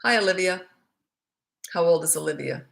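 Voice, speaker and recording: A woman's voice: lowish voice, moderate pace, vocal fry, fairly bright tone. A middle-aged woman with a Canadian accent, a parenting coach answering viewer questions live in a frank, chatty, rambling way.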